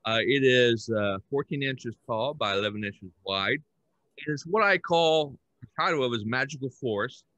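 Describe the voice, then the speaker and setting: Older male podcast host for a casual art show: animated low delivery, dull video-call sound. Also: normal speed, dry recording. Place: indoor room on a video call. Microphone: headset.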